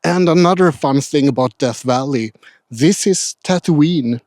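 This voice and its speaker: A man's voice: fairly high pitch, unhurried, a little mellow, no echo. Dry-humored man narrating travels. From Sweden. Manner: dry, wry and grumpy humor. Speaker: travel vlogger.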